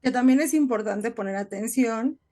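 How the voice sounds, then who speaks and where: A woman with a fairly high voice co-hosts a casual podcast discussion. She reasons things through reflectively, often tagging her points with a small question. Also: moderate pace, warm tone. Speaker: podcast co-host.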